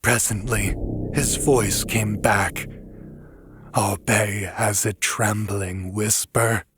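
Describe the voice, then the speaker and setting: A man's voice: lowish voice, steady tone, slow pace, music in the background. A man narrating a horror audiobook in a scripted, dramatic literary style.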